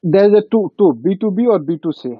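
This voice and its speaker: Over a thin, phone-like connection with a dry sound, a high-voiced man with a Nepali accent talks business. His delivery is halting, and he repeats phrases to drive them home. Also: husky, no echo. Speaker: business guest. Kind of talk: informal business discussion. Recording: thin phone-like call audio.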